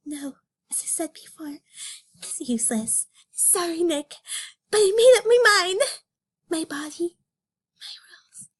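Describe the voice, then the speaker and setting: A woman's voice: very high-pitched, very animated, measured pace, long pauses, voice pushed up, exaggerated voice. A woman voicing a tearful, stubborn young female cartoon bunny in an overwrought dramatic reading of a comic. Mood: quiet, resolute. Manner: pleading, sobbing, overwrought.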